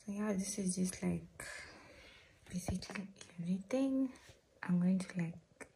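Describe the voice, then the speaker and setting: A woman vlogger, croaky from just waking up, talking in a soft half-whisper with lots of "like" fillers in a casual voice-over from her home kitchen. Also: fairly low pitch, a little slow, a little hiss or hum, poor audio. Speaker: lifestyle vlogger.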